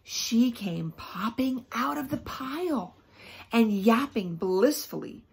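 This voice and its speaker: A woman teacher reads a children's book aloud expressively, pausing often for emphasis and to put questions to young listeners. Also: on the high side, lively intonation, gravelly, a little hiss or hum.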